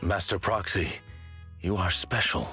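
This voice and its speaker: A man's voice: deep voice, unhurried, sharp tone, faint music behind. A man voicing a formal, composed butler figure in a game cutscene, polite and precise. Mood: measured.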